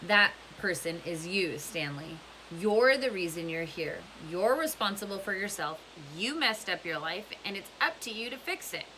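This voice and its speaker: Storybook male counselor, read by a woman: earnest, lecturing, pointed, with lively pitch movement. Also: normal speed, hardly any pauses, rough recording. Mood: stern, lecturing. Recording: clear background hiss.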